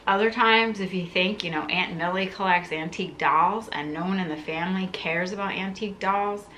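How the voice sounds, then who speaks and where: A woman's voice: on the low side, moderate pace, fairly bright tone, slight background noise. A woman in her thirties talking casually to camera as she hosts an unboxing vlog, musing aloud in a conversational way. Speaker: content creator, video host. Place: living room, indoors.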